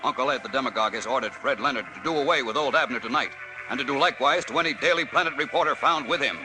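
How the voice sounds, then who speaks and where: A man's voice: mid-range voice, racing, loud music behind the voice, poor audio. A man narrating an old radio serial as announcer, suspenseful and emphatic, on a vintage broadcast recording.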